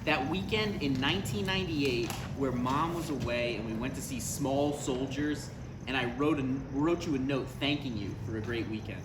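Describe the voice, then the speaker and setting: A man's voice: mid-range voice, moderate pace, lightly acted. A man playing a dry, skeptical, easily exasperated adult son in a live comedy table read. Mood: wry, fond. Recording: echoey live room, faint noise.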